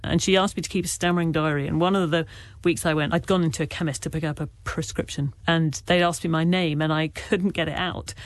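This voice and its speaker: A man from Wales being interviewed as an author, speaking with a stammer in halting, earnest, reflective stories full of restarts. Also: fairly high pitch, fairly even intonation, no room sound. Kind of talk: candid conversational interview.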